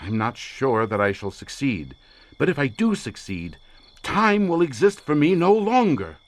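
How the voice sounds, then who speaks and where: A fervent, imperious male researcher of the occult. He speaks in grand, formal phrases with a theatrical edge. His mid-pitched voice rises and falls dramatically. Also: very animated, measured pace. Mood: intense, instructing. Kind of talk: dramatic audio drama acting.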